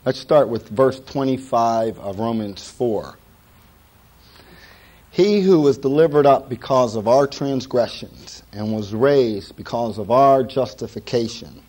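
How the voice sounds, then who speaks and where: A middle-aged man preaching a sermon as pastor, hoarse from a cold, folksy and joking, with many "uh" fillers. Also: medium pitch, unhurried, a little mellow.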